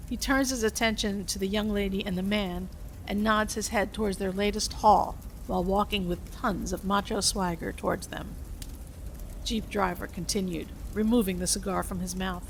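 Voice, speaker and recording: A woman's voice: mid-range voice, rough recording. Woman narrating a story, faint noise. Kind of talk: scripted narration of a story script.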